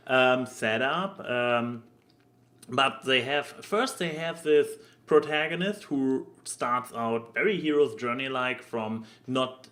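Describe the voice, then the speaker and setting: A man talks casually on a podcast in a middle-pitched voice. He takes his time, stammering and halting as he looks for the next word. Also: fairly bright tone. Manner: halting, stammering, searching for words. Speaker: podcast co-host. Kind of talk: casual podcast chat about anime.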